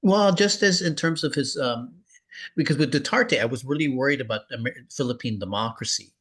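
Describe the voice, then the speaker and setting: A man's voice: mid-range voice, fairly quick, a bit bright, breathy edge. A man chatting casually as an interviewer, earnest and rambling, often repeating the same filler tags. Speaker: cartoonist interviewing a peer.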